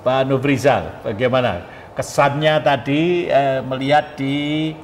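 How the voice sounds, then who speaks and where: A man's voice: medium pitch, takes it slowly. A man hosting a conversational broadcast interview, prompting his guests with questions and short acknowledgements. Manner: prompting, frequent backchannels. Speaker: talk show host. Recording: reverberant hall, noticeable background noise.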